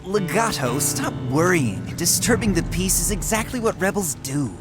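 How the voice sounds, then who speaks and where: A man voicing a smug, self-assured lord in a video game, measured and condescending in manner. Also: high-pitched, sing-song, music in the background. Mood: smug, dismissive. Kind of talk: English-language video game voice acting.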